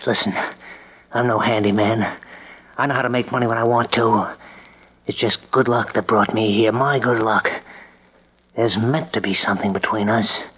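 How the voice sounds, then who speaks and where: A man's voice: lowish voice, steady tone, normal speed, long pauses, fairly bright tone, slightly rough. An actor playing a brash drifter pressing a married woman, in 1940s radio acting style. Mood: insistent. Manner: brash, insistent. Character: smooth, pushy young drifter handyman. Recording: dull lo-fi old radio recording.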